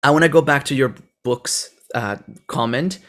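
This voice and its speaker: A man's voice: medium pitch, normal speed, fairly bright tone. A man from Brazil, a native Portuguese speaker with a Brazilian accent, hosting a conversational interview. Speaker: podcast host.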